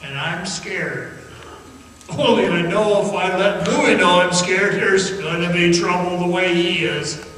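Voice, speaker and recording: A man's voice: high voice, a little slow, a bit bright. An actor playing an earnest, haunted young man in a radio theatre monologue, confiding, insistent and jittery. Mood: tense, confiding. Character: earnest, haunted young man recalling being lost underground. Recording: echoey hall, distant, faint noise.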